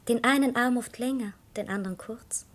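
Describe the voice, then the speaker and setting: A woman's voice: on the high side, fairly quick, close to an everyday voice. A woman acting a playful, dreamy child in a 1960s German radio drama, with simple, poetic phrasing.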